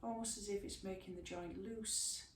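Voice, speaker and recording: A woman teaching a yoga class, giving slow, soothing guidance through stretches and a guided relaxation. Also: mid-range voice, fairly even intonation. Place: room, recorded at a distance from the camera mic. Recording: echoey room, mic at a distance, faint hiss.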